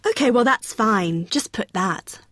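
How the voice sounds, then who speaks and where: A woman's voice: mid-range voice, lively intonation, measured pace, fairly bright tone, no echo. A young woman playing a friendly, helpful student union helper who explains things in an acted everyday dialogue. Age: young adult.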